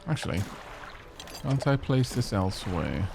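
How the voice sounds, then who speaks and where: A man's voice: fairly low pitch, very animated, unhurried, creaky, background noise. Wry man streaming a game. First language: Welsh. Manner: chatty, wry, talks to chat. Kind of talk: casual gameplay commentary.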